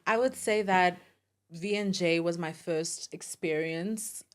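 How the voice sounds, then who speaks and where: Low-pitched South African woman hosting. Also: slow pace. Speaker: podcast host. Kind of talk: casual chat interview.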